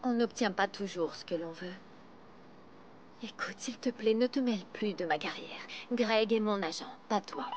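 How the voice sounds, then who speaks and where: An actress dubbing a young singer in a drama who talks in a cold, cutting way. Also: female voice, medium pitch, moderate pace, background noise, natural voice. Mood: indignant. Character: young female singer, proud and indignant. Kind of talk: dubbed telenovela acting.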